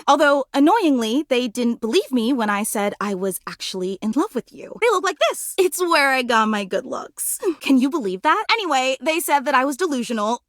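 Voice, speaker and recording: A woman's voice: sits high, a bit bright, no echo, studio quality. A woman voicing an obsessive, lovestruck female superfan in a video game, theatrical, needy and gushing. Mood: indignant. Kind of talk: video game character acting.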